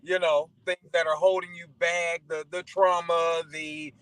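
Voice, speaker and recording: A man's voice: fairly high pitch, flat delivery, measured pace, a bit bright, smooth, dry recording, poor audio. An American man speaking as a guest on a talk show, in casual motivational conversation.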